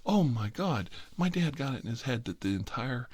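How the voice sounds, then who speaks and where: A middle-aged man telling a rambling, comic family anecdote in a casual, wry, exasperated manner with drawn-out emphasis. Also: mid-range voice, very animated, darkish tone, breathy edge. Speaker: host telling a family story.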